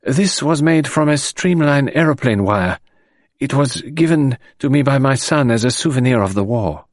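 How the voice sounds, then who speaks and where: A man's voice: mid-range voice, animated, moderate pace, no echo, clean studio sound, voice pushed up, close to an everyday voice. A man voicing a dignified, grieving middle-aged French widow who speaks in a composed, formal, restrained way. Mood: earnest.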